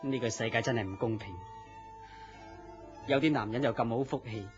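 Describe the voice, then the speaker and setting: A man's voice: mid-range voice, moderate pace, close to an everyday voice. Guy playing shy polite man in a cantonese radio play, hong kong accent, muffled, some music behind. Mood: sympathetic, envious. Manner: deferential, hesitant. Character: polite, bashful man courting his boss's mistress. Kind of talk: Cantonese radio drama acting.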